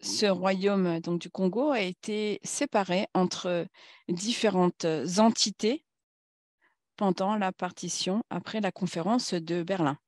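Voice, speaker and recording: A woman speaking at a conference in a formal political talk, halting and searching for words as she goes. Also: mid-range voice, a little mellow, no echo, clean studio sound.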